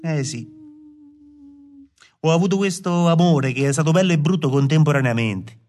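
An actor playing a lovelorn, self-absorbed poet in a radio play, rambling colloquially and confidingly with a southern Italian accent. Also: male voice, a little high, lively intonation, normal speed, a little mellow, lightly acted. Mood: wistful, confiding.

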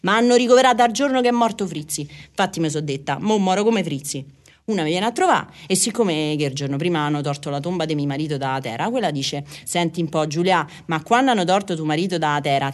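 A woman's voice: deep voice, animated, a little fast. A middle-aged woman with a Roman Italian accent telling personal stories casually, rambling, repeating phrases and acting out conversations. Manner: chatty, repeats phrases, acts out dialogue.

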